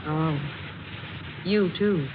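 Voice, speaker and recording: A woman's voice: low voice, extremely slow. A woman playing a polite, guarded young job seeker in a 1940s radio drama, with a proper and hesitant manner. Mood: curious, sympathetic. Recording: muffled, noisy old radio recording.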